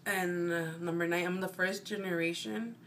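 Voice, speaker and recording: A woman's voice: fairly low pitch, steady tone, normal speed, a bit bright, slight background noise. Rambling Mexican American woman vlogger. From Chicago, United States. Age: 20s. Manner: rambling, meandering, often corrects herself. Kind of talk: casual chat to camera.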